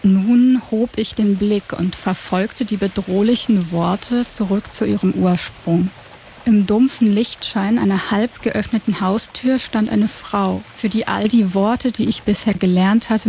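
Mellow woman narrating an audio drama. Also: medium pitch, a little slow, creaky, slight background noise. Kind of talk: scripted first-person narration of an audio drama. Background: music.

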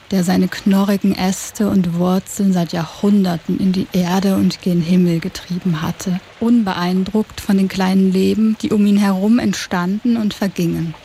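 A woman narrating an audio drama in the first person, with a soft, lyrical and introspective delivery. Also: mid-range voice, slight background noise. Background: music.

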